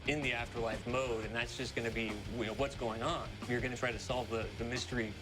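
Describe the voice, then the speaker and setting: Male developer interviewee, emphatic, fast, average pitch, loud score underneath. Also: level tone. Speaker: game developer. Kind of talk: behind-the-scenes interview. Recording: loud music behind.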